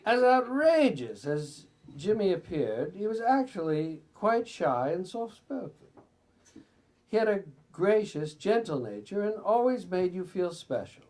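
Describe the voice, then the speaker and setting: A man's voice: high-pitched, big pitch swings, unhurried, darkish tone. A man reading excerpts from a memoir aloud at a live reading, sometimes stumbling and stepping out of the text with asides. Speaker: reader at a bookstore event.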